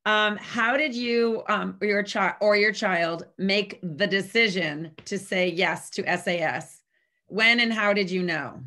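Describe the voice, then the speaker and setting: A woman moderating a panel, slowly reading out questions. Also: mid-range voice, bright, forceful tone.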